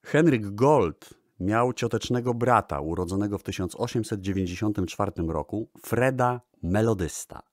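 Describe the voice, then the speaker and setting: A man's voice: on the low side, lively intonation, moderate pace, darkish tone, no room sound, studio quality. A man presenting music history in a radio style, formally and eloquently, addressing his audience politely. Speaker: host presenting archive records.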